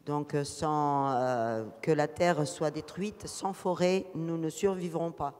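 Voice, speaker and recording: Hesitant interpreter man, high voice. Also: fairly even intonation, slow pace, a little mellow, clean tone. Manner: hesitant, frequent filler "euh". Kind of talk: live consecutive interpreting. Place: ceremony hall. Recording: long reverb in a big hall.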